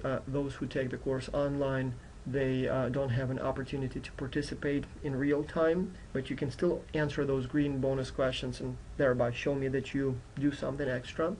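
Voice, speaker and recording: Non-native English-speaking male instructor, creaky voice. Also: mid-range voice, a little mellow, a little hiss or hum, low-quality recording. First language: not English, which is his fourth language. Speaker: university instructor. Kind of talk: explanatory course introduction lecture. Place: indoors at a computer.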